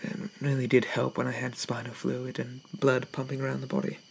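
A man playing a shy, gentle, polite Victorian zombie in a soft-spoken ASMR roleplay, stammering and hesitant with old-fashioned courtesy. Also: medium pitch, completely flat delivery, a little fast, creaky, slight background noise, close to an everyday voice. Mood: apologetic, flustered.

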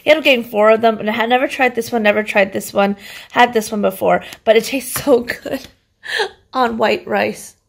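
A woman's voice: on the high side, moderate pace, creaky. A woman in her thirties from Canada, chatting casually to a phone camera in her home kitchen as she rambles through a grocery haul. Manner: chatty, rambling, laughs often. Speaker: vlogger showing a grocery haul. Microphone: phone camera at arm's length.

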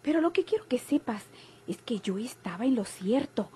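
Actress as an excitable, high-voiced young Mexican woman. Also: normal speed, a little hiss or hum, close to an everyday voice. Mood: breathless, confiding. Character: excitable, nosy young daughter telling secrets. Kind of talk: golden-age Mexican radio drama acting.

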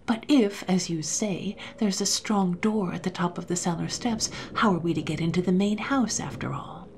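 A woman's voice: lowish voice, normal speed, slight background noise, lightly acted. A woman reading the part of a nervous male accomplice who whispers eager, worried questions. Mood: anxious. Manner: hushed, excited, questioning.

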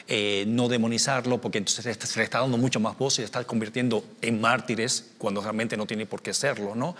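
A man's voice: medium pitch, a bit bright, long reverb tail. A man in his forties, from Cuba, a scientist speaking on a studio panel, gesturing and restarting his phrases often. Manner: gestures a lot, many restarts and fillers. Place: television studio. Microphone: small lapel mic.

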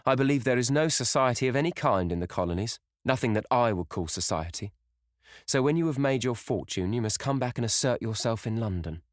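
A man's voice: medium pitch, expressive, fairly quick, no room sound, clean studio sound, pitched above the performer's own voice, lightly acted. A man voicing a genteel, middle-aged mother who complains in a prim, theatrical manner, as in an audiobook. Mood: grand, urging.

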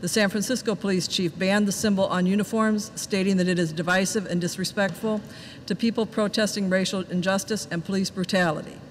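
A woman in her fifties, a village trustee, reading public comments aloud in a steady, plain voice at a desk microphone in a large meeting hall. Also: medium pitch, a little fast. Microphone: desk microphone at some distance. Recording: reverberant hall, faint background noise.

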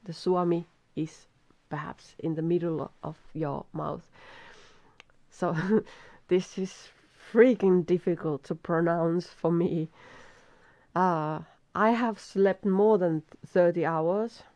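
A middle-aged woman with a Finnish accent, very tired, reading a text aloud in halting English with stumbles and restarts. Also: on the low side, steady tone, darkish tone, no room sound, muffled recording. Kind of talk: casual self-recorded reading practice. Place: small room at a desk.

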